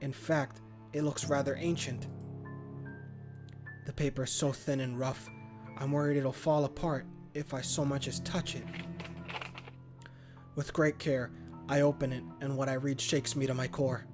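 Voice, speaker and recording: A man hosting a gaming video, reading a horror visual novel aloud in a theatrical, expressive way with casual commentary. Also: mid-range voice, a little slow, pauses often. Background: game music.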